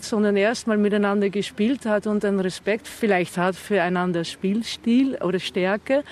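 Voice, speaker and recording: A woman's voice: mid-range voice, darkish tone, a little hiss or hum. A middle-aged woman whose first language is Dutch, giving thoughtful, explanatory interview answers as the founder of a chess initiative.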